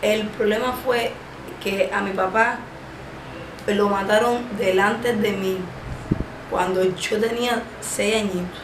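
Slow, stammering young Cuban woman. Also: medium pitch, steady tone. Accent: Cuban Spanish. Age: 20s. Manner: halting, stammering, many drawn-out fillers. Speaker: interviewee. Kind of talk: personal testimony in an interview. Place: small indoor room, seated. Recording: echoey room with background noise.

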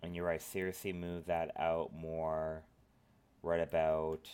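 A man with a cold, sniffling as he talks through a software modeling tutorial at his computer, rambling with lots of filler phrases. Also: a bass voice, completely flat delivery, slow pace, clear, resonant tone. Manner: rambling, filler-heavy instructions. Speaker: tutorial host. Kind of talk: screen-recorded software tutorial.

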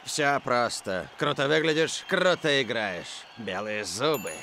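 A man's voice: medium pitch, animated, a little slow, pushed and bright, a little hiss or hum. A man voicing a vain, boastful male football star in a cartoon dub, with a theatrical delivery. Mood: smug. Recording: music behind the voice.

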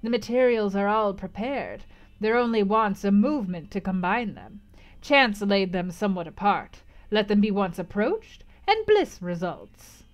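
Woman putting on a harsh old crone fortune teller, bold and decided, loud and knowing. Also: mid-range voice, lively intonation, a little slow, highs cut off, close to an everyday voice.

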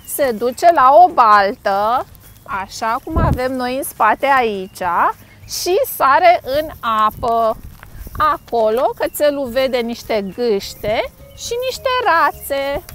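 A woman's voice: high voice, animated, a bit bright. A middle-aged woman hosting a children's storytime, reading a picture book expressively and playfully in simple phrases. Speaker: storytime host. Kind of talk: animated children's picture-book read-aloud. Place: outdoors on a grassy lakeside bank.

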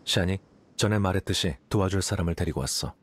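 A man playing a calm, aloof young scholar in a Korean game, explaining things in a composed, matter-of-fact way. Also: low voice, fast, breathy edge. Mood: composed, detached. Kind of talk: Korean game dialogue voice acting.

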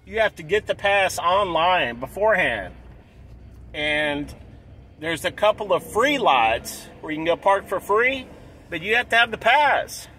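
A man in his forties vlogging from inside a car, talking excitedly in a casual, repetitive way and clearing his throat often. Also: high voice, measured pace, a little hiss or hum. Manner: hyped, repeats himself, clears throat often. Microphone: dashboard camera close up.

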